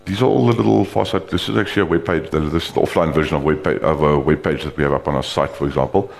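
A man giving an informal, rambling live demonstration of screen reader software, talking loosely with frequent restarts. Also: a bass voice, steady tone, fast talker, gravelly.

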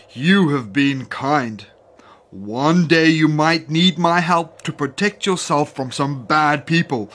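A woman voicing a big, proud, kindly elephant in a children's story, speaking in a slow, booming way.

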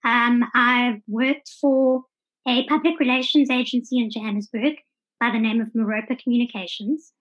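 A woman's voice: on the high side, steady tone, normal speed, a bit bright, muffled recording. A South African woman in her thirties giving articulate, orderly answers as a guest in a conversational interview. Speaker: podcast guest.

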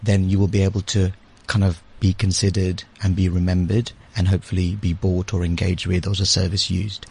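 Low, husky British man in interview. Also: level tone, a little fast, hardly any pauses, mellow. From UK, born in India, grew up in London. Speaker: interview guest, advertising agency managing director. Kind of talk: conversational explanation. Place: radio studio.

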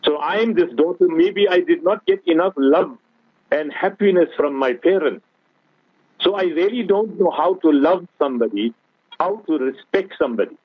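Husky-voiced man preaching over tinny radio. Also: a little high, animated, normal speed, dry recording. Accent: South African. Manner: earnest preaching, repeatedly addressing host. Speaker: guest scholar. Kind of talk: religious talk radio discussion. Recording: tinny phone-like radio broadcast.

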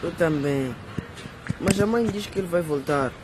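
A man plays a lively young boy in a radio drama, teasing and a little bossy. The voice is fairly high, with clear noise behind it. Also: moderate pace, close to an everyday voice. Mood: playful. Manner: teasing, playful.

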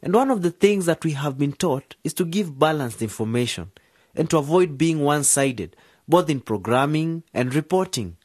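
An earnest young journalism student. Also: male voice, on the high side, lively intonation, normal speed, darkish tone, no echo, clean studio sound. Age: young adult. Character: young male journalism student starting a radio station. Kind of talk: radio drama acting.

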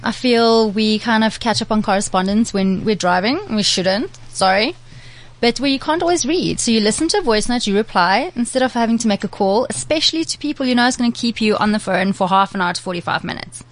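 Relaxed woman in casual chat. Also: fairly high pitch, fairly quick, low-quality recording. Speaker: guest, fashion blogger.

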